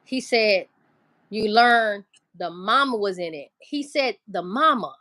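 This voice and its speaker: A woman's voice: mid-range voice, animated, takes it slowly, sharp tone, no room sound. A woman hosting a casual livestream, talking loosely, swearing and greeting viewers in the chat. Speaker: livestream host.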